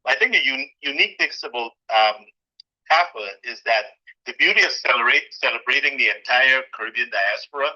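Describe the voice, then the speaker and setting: A man's voice: medium pitch, a little fast, bright, forceful tone. A middle-aged man from Guyana, a guest on an interview show, answering with many fillers and restarts. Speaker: guest, president of a community association. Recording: dull, lo-fi sound.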